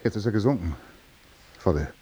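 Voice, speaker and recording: An actor playing a broke, easily exasperated working-class man in a radio comedy, gruff and colloquial. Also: fairly low pitch, expressive, moderate pace, dark tone. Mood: panicky, exasperated. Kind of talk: naturalistic radio comedy drama acting.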